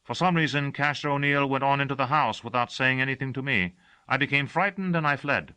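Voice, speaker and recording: A man voicing a cheerful, excitable heavy-set servant who keeps forgetting things. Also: medium pitch, a little fast, clear, resonant tone, voice pushed up, natural voice.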